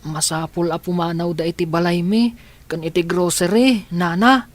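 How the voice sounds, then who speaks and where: A woman's voice: on the low side, fairly even intonation, moderate pace, close to an everyday voice. An actress in a radio drama playing a worried woman talking with an older relative. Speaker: actor in a radio comedy drama. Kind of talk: Ilocano radio drama acting.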